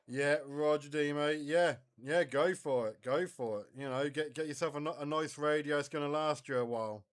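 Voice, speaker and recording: An English man chatting casually as a CB radio operator, halting and repeating his words. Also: fairly high pitch. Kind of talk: casual radio chat between hobbyists.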